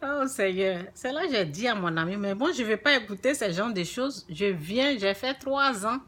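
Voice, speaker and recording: A woman's voice: mid-range voice, lively intonation, normal speed, a bit bright. A woman from Africa with a francophone African accent, giving an informal spiritual talk to viewers and repeating phrases emphatically. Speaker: religious vlogger.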